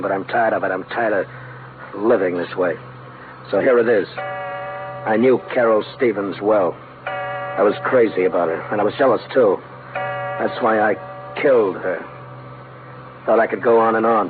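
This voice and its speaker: A tinny, faintly noisy old radio recording holds the low voice of a weary American killer played by a man. He confesses haltingly, bitter and resigned, in classic 1950s radio drama style. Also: lightly acted.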